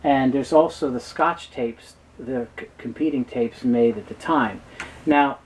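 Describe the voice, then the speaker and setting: Male expert, mid-pitched voice, explanatory and halting delivery, noticeable background noise. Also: poor audio. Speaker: guest expert on reel-to-reel tape. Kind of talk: technical explainer interview.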